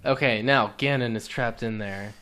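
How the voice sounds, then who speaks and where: A man's voice: medium pitch, normal speed, crowd noise. A man chatting casually as co-commentator on a video game, with wry, offhand banter. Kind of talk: casual chat over video game footage.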